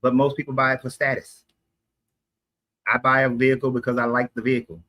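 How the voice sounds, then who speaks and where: A man's voice: medium pitch, little pitch movement, brisk, pushed and bright. Argumentative man from Atlanta. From Atlanta, USA. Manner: argumentative, explains at length, self-correcting. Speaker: street debater. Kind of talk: casual street conversation.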